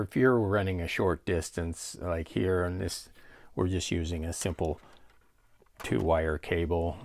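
A man's voice: sits low, vocal fry, darkish tone, dry recording. A man giving a casual, explanatory product demonstration in a plain-spoken, matter-of-fact way.

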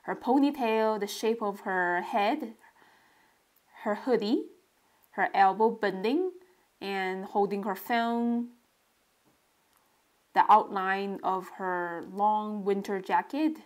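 A woman narrating a relaxed drawing tutorial step by step, describing what she does as she draws. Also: mid-range voice, slow pace, long pauses. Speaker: sketching tutorial host.

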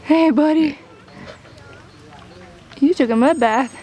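A woman's voice: high-pitched, slow, warm tone. A young woman chatting casually and playfully as she films a travel vlog on a handheld camera, cooing as she talks. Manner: playful, coos at animals and children. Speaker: travel vlogger. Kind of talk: casual chat while filming a hike. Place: outdoors on mountain village roads and paths. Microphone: handheld camera microphone. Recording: noisy outdoor handheld camera audio.

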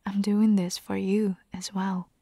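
A very unhurried, soft and breathy female voice, often sinking into a whisper with a crackle of fry. A woman plays a caring, gently bossy vampire hunter girlfriend in an intimate ASMR roleplay. The sound is close and dry, tender with a teasing edge. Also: medium pitch, level tone, no echo. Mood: earnest, hopeful. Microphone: close microphone.

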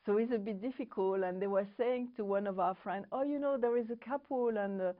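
A woman's voice: mid-range voice, narrow, tinny audio. A middle-aged French woman with a French accent, co-hosting a TV programme and talking casually to camera, searching for words now and then. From France. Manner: halting, searching for English words. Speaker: co-host. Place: studio set with bookshelves. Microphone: lapel.

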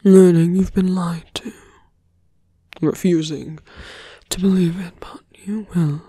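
A man voicing a seductive, smug fantasy villain in an ASMR roleplay, coaxing in a breathy, teasing whisper close to the mic. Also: high-pitched, steady tone, slow, dark tone, heavily acted. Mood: smug concern. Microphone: close dry microphone.

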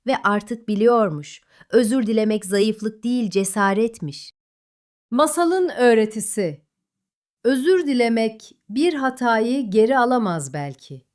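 Female children's storyteller, higher register, lively melodic range, dramatic scripted narration. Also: unhurried.

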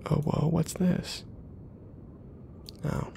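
A man giving ASMR gameplay commentary in a soft half-whisper, musing casually to himself in a hushed way. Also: fairly high pitch, level tone, very slow, gravelly, slight background noise. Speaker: video game streamer.

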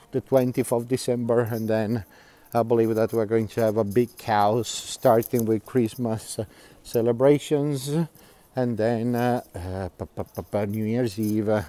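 A man's voice: mid-range voice, warm tone. A middle-aged man gives a live walking tour outdoors, reading viewer comments aloud and chatting with frequent fillers and laughs. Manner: chatty, laughs often, many fillers and restarts. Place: outdoors, quiet canal-side streets.

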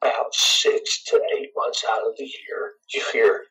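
A middle-aged man with a scratchy, raspy voice, chatting casually and rambling as an interview guest. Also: medium pitch, wildly swooping pitch, measured pace, fairly bright tone. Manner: laid-back, rambling, chuckles often. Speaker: guitarist guest.

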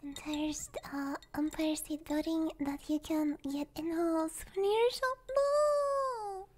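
A young woman hosting an ASMR stream, chatting playfully in a soft, breathy, girlish voice that often slips into a whisper, close on a binaural microphone. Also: extremely high pitch, slow. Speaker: ASMR livestream host. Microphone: binaural ear microphone.

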